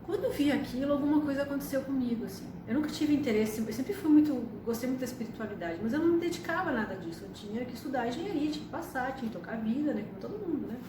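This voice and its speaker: A woman's voice: sits high, lively intonation, rapid-fire, hardly any pauses. A woman, telling her own life story to camera in a chatty way, with frequent tag questions. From Argentina. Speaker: astrologer and psychotherapist. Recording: echoey room from a distance with background noise.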